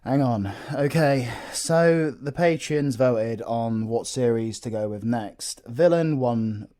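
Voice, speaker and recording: A man's voice: mid-range voice, takes it slowly, mellow. A British man hosting his audio roleplay channel, giving scripted comic announcements in a dry, exasperated straight-man manner. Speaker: audio roleplay creator and host. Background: music.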